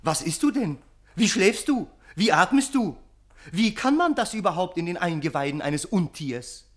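A sensible, exasperated gentleman with a fairly high voice. He puts dry, sceptical questions in a theatrical radio-play style, concerned and sober. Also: lively intonation, normal speed. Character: exasperated, concerned, sensible friend of a foolish man.

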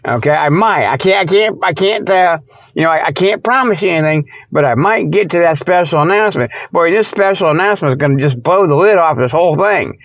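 A man's voice: fairly high pitch, expressive, fairly quick, no room sound. Folksy rambling man, dull lo-fi sound. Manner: folksy, stammering, digressive, chuckling at own jokes. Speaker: radio show host. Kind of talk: rambling comedic monologue.

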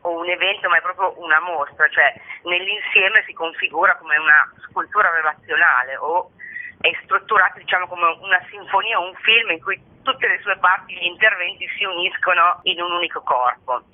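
A woman's voice: on the low side, normal speed. A woman speaking as an artist presenting her work in an explanatory interview style, often checking in with short tag questions. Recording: narrow tinny telephone-like line.

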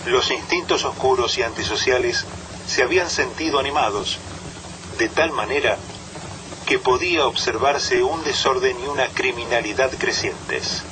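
A man's voice: medium pitch, normal speed, background noise. A man giving a solemn, scripted reading of literary prose for radio. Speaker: reader of literary quotation.

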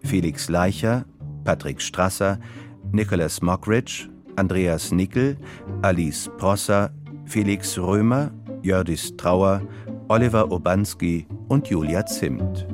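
A man reading scripted radio announcements in a measured, formal manner. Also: low-pitched, over music.

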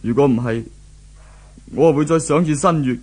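A man's voice: on the high side, normal speed, a little mellow, close to an everyday voice. A man acting as an anxious, lovelorn boyfriend in a 1970s radio drama, earnest and troubled, with a Hong Kong Cantonese accent. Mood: bitter, drunken. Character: lovelorn, anxious man searching for his girlfriend.

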